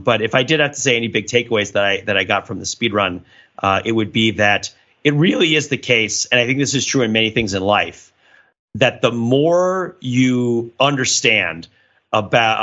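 Bright-voiced man chatting, slightly dull audio. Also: mid-range voice. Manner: rambling, animated explanations with frequent chuckles. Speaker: podcast host. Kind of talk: casual chat.

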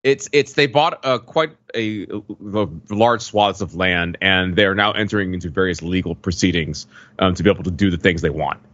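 Low-voiced man thinking aloud. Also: normal speed, a bit bright, no echo, muffled recording. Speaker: podcast co-host. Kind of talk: casual conversational discussion.

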